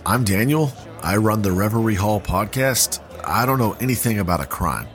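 A man with a low-pitched voice speaks as a podcast host in a scripted audio interview. He explains things earnestly, with music playing clearly behind him. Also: animated, moderate pace.

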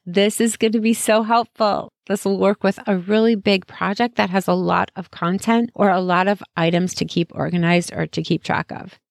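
Gravelly-voiced woman podcast host. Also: mid-range voice, steady tone, normal speed, hardly any pauses, no room sound, clean studio sound. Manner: chatty and encouraging, friendly asides. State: losing her voice. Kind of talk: casual solo podcast giving tips.

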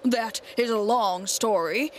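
Woman as a measured, stately winged monkey king. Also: fairly high pitch, slight background noise. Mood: stately, calm.

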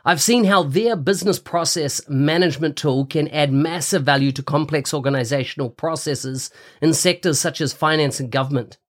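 A man hosting a podcast, reading a measured scripted intro and then chatting with a guest. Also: fairly high pitch. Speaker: podcast host.

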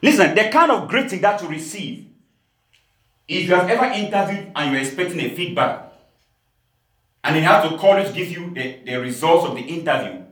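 Man from cameroon preaching, fairly high voice, big echoey church sound. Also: lively intonation, normal speed, pauses often. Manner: emphatic preaching, laughing asides, rising to shouts. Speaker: pastor. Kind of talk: sermon. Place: church hall.

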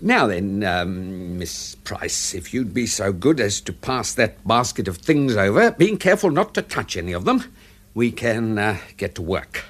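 A man playing a discreet, meticulous English valet in a radio drama, husky, formal and dryly deferential. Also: lowish voice, big pitch swings, a little slow, a bit bright, full character voice. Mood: meticulous, businesslike. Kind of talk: period radio drama acting.

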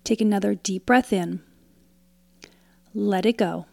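Slow-paced woman guiding a meditation. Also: medium pitch, vocal fry, no echo. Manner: calm, soothing, instructional, with long pauses between short phrases. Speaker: guided meditation narrator. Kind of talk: guided meditation, scripted narration.

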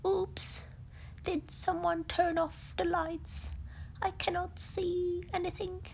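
A woman voicing a gentle, bumbling bear who loves honey, with a soft, plaintive manner. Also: very high-pitched, flat delivery, takes it slowly, vocal fry, a little hiss or hum, voice pushed up, exaggerated voice, low-quality recording. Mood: bewildered.

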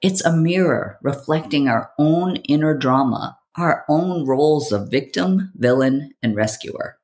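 A woman hosting a podcast, delivering a scripted monologue in a theatrical, provocative way with deliberate phrasing. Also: low voice, lively intonation, darkish tone. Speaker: podcast host.

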